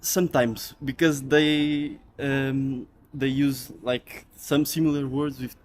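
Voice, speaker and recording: A man's voice: medium pitch, fairly even intonation, takes it slowly, darkish tone, a little hiss or hum. A man from Portugal with a Portuguese accent, a native Portuguese speaker, answering a street interview outdoors. He hesitates often and restarts his sentences. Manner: hesitant, repeats words and restarts.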